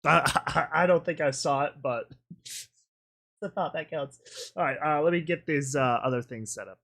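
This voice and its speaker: A woman's voice: low voice, normal speed, a bit bright. A woman hosting a reaction video with casual commentary, faint music behind.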